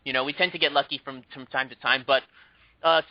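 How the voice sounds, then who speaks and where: A man's voice: mid-range voice, fairly even intonation, quick pace, dry recording. Male podcast host, rambling delivery full of "um"s, dry lo-fi sound. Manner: rambling, many fillers and restarts. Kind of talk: casual movie news chat.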